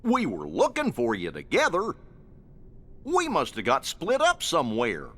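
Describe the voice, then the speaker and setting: A man voicing a kindly, loyal, bumbling cartoon dog sidekick in a video game, husky and folksy. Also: on the high side, wildly swooping pitch, a little slow, a bit bright, exaggerated voice. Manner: folksy, bumbling, good-natured.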